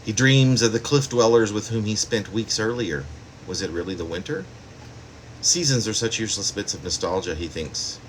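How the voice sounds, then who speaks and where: A man's voice: lowish voice, expressive, normal speed, a little hiss or hum, rough recording. A man reading his own poem aloud as a poet, in a spoken poetry-reading style.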